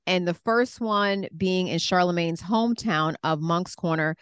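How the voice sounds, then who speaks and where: A woman's voice: lowish voice, unhurried, clear, resonant tone, no room sound, studio quality. A woman presenting a business news segment on a talk show in a conversational way.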